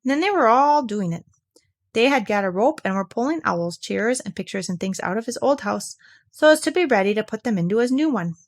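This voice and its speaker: A woman's voice: on the high side, lively intonation, fairly quick. A woman reading a children's story aloud as scripted narration. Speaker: storybook reader.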